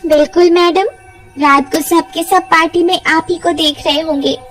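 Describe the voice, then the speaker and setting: A sly witch masquerading as a polite beautician played by a woman, with a high voice. It is a cartoon-story role over faint music. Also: fairly even intonation, normal speed, fairly bright tone. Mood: slyly reassuring.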